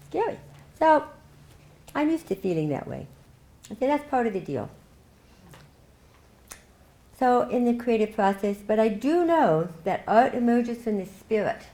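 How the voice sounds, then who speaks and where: A woman's voice: mid-range voice, dark tone. An older woman giving a keynote speech at a club meeting, telling her story in a reflective way with light laughs. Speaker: keynote speaker. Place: meeting hall. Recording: echoey hall, faint noise.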